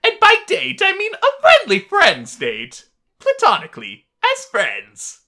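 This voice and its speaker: A woman's voice: high voice, huge pitch swings, a little slow, pushed and bright, voice pushed up, heavily acted. A woman voicing an exuberant, grandiose male skeleton royal guard for a visual novel game, with pompous, theatrical, emphatic delivery. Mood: flustered, eager.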